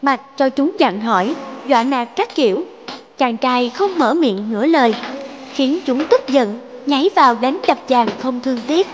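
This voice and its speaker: A woman's voice: high voice, animated, moderate pace, a little hiss or hum. A woman narrator reading a scripted fairy tale in storybook style. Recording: dull lo-fi sound, faint music.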